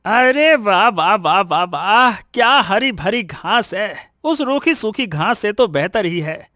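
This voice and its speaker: A man voicing a bright-voiced grumbling donkey. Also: high-pitched, lively intonation, slow, dry recording, studio quality, faint music behind, full character voice. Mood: delighted, then grumbling. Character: carefree grumbling male donkey who resents his master. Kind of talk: animated Hindi moral-story dramatization.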